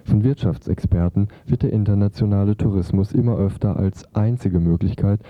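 A man's voice: fairly low pitch, normal speed, no room sound. A man narrating a scripted report as a radio reporter. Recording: dull, lo-fi radio recording.

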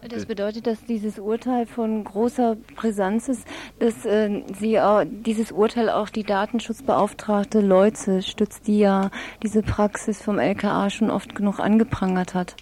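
Woman radio interviewer, soft dark tone, mid pitch, formal prepared questions. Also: fairly even intonation, a little slow.

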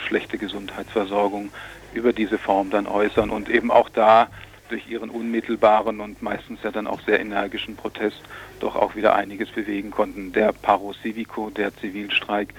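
A man's voice: on the low side, a little hiss or hum. A man explaining things in a radio interview, in long, winding sentences with some hesitation. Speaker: interviewed commentator on Colombian politics.

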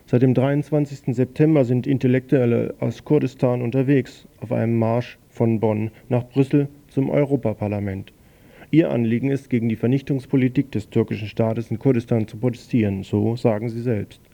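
Dark gravelly man reading radio news. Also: medium pitch, fairly even intonation, normal speed. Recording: dull lo-fi radio recording.

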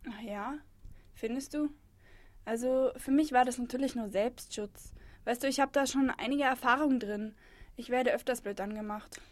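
Cool young street musician played by a woman: slightly high voice, slangy, hesitant, frustrated, close dry sound. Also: moderate pace, dry recording, natural voice. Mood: guarded. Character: cool young female street songwriter, frustrated, longing for freedom. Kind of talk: German radio play dialogue.

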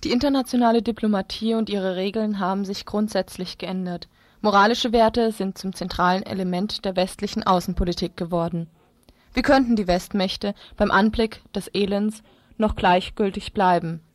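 A woman's voice: mid-range voice, no echo. A woman presenting a radio feature, reading formal scripted narration.

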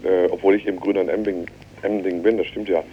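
A man with a husky voice giving a spontaneous interview, halting and repeating himself as he goes. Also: low-pitched, level tone, lo-fi sound, slight background noise. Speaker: interviewee, local Green politician.

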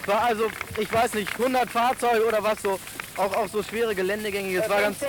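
A man's voice: high-pitched, little pitch movement, a little fast, background noise. A man casually recounting a trip as an eyewitness, loosely and hesitantly.

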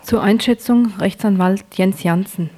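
A woman's voice: medium pitch, a little slow, mellow. Woman reading a scripted radio report. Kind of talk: scripted news report narration.

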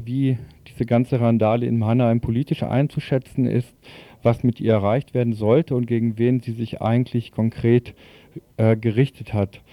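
A male radio presenter with a very dark, mellow voice at a middle pitch reports the news. His delivery is matter-of-fact and informal, with an occasional stumble. The sound is close and dry. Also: dry recording.

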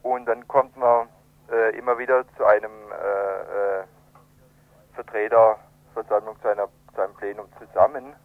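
A man answering interview questions over the telephone, hesitant and pausing often mid-sentence. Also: lowish voice, fairly even intonation, dry recording. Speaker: interview guest, children's radio editor. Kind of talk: spontaneous phone interview answer. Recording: tinny telephone line.

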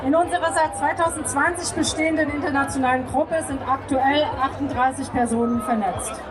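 A woman reading out a prepared public speech in a measured, formal manner at a noisy outdoor event. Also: sits high, steady tone, crowd noise. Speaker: speaker for a queer refugee support group.

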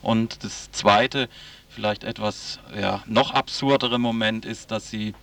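A man with a creaky, monotone voice, slightly distant from the mic, conducts a radio interview. He speaks spontaneously and hesitantly, searching for the right wording. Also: fairly low pitch, slow.

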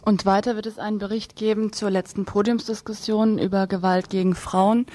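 A woman's voice: medium pitch, little pitch movement, fairly quick, dark tone, a little hiss or hum. A woman co-hosting a radio programme and announcing upcoming reports in a studio. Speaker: radio co-host.

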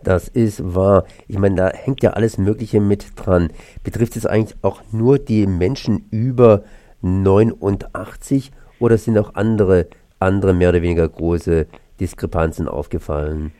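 A man's voice: sits low, fairly even intonation, normal speed, warm tone, dry recording, clean studio sound. A man hosting a radio interview, putting questions and comments to a guest in a conversational way.

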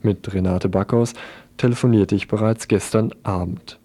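A man's voice: lowish voice, fairly even intonation, normal speed, dark tone, no echo. A man presenting a radio news magazine and putting questions to a guest as interviewer.

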